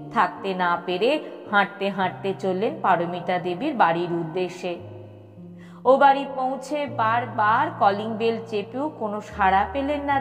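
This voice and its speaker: A woman reads a scripted story at a very unhurried pace. Her delivery is expressive, with dramatic pauses. Long reverb surrounds the voice and music plays behind it. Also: mid-range voice. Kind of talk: scripted audio story narration. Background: background music.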